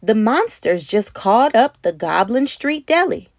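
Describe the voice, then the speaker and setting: Lively, high-pitched woman reading a storybook. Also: unhurried, vocal fry, dry recording, clean studio sound. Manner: animated, acts out words and sound effects. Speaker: children's read-aloud host. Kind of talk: expressive children's storybook narration.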